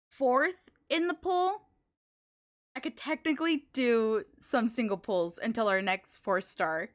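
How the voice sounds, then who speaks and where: A woman live streaming a video game, chatting casually with viewers and thinking aloud in an expressive, exclamatory way. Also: sits high. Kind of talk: casual chat with stream viewers while gaming.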